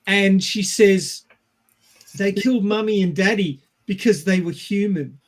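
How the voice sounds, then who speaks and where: A man with a notably high voice co-hosts a casual film discussion. He speaks at a slow, unhurried pace, chatting informally. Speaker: podcast co-host.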